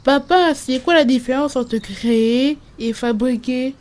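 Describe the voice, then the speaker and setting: Female performer as little girl, high voice, inquisitive, full of wonder, children's cartoon. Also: a little slow, a little mellow.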